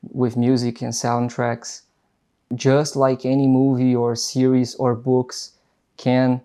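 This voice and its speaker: A man's voice: medium pitch, dark tone. A young Brazilian man with an accent, English not his first language, rambling casually to camera in an unscripted vlog, full of fillers and restarts. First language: Portuguese. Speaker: vlogger.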